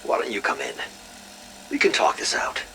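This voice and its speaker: An actor playing a guarded, terse, no-nonsense intelligence officer on a call in a video game. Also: male voice, normal speed, sharp tone, a little hiss or hum, lightly acted, poor audio. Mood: impatient, firm. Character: curt, guarded male intelligence agency officer. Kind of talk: dramatic game dialogue on a phone call.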